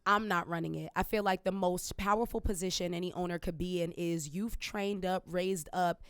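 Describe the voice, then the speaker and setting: A woman guest in a casual interview, talking in an animated, emphatic way about her business and laughing often. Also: lowish voice, moderate pace, smooth, no echo. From Jamaican family. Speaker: guest entrepreneur.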